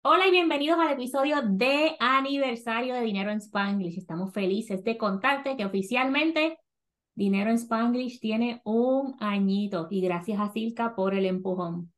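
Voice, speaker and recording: A woman with a Puerto Rican Spanish accent hosting a casual podcast chat, lively and quick to laugh, slipping English words into her Spanish. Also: fairly high pitch, faint music behind. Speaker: podcast host.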